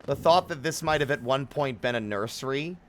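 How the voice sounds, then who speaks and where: A man's voice: medium pitch, brisk, a little hiss or hum. A man giving casual live game commentary as a streamer, musing and sometimes sweary.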